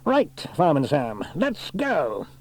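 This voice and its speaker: A man's voice: a little high, wildly swooping pitch, slow pace, a little mellow, voice pushed up, exaggerated voice. Storybook: man playing stern commanding fire chief, raised clipped voice. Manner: curt, commanding.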